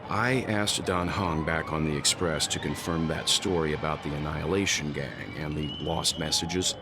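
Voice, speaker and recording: An actor playing a grave, thoughtful mature man in a video game, analysing things warily and deliberately. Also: very deep voice. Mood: pondering. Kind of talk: scripted video game dialogue. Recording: game audio with music behind.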